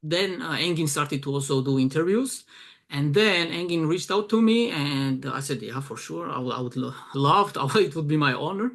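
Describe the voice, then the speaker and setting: A man's voice: fairly high pitch, lively intonation, normal speed, creaky, fairly bright tone. A young man from Georgia with a Georgian accent, talking casually in an interview, hesitant with many fillers. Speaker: guest, promotions director.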